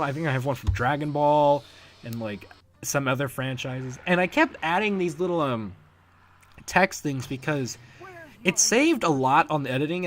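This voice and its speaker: A man giving casual, unscripted commentary as the creator of a fan project, rambling and halting as he talks. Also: on the high side. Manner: rambling, halting, chuckling now and then.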